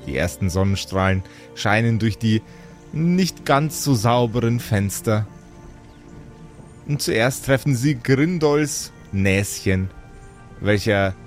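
A man narrating an improvised fantasy story as game master, with theatrical, relishing, drawn-out phrasing. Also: on the low side.

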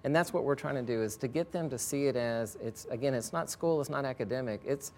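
A man with an average-pitched voice speaks as an interview guest. He answers conversationally as a school educator. There is slight rumbling noise behind him. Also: quick pace, darkish tone.

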